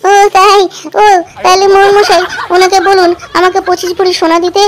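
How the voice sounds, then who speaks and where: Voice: very high-pitched, little pitch movement, fairly quick, noisy background. A performer voicing a crafty, demanding village housewife in a comedy cartoon, wheedling and self-righteous. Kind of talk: amateur comedy cartoon dub.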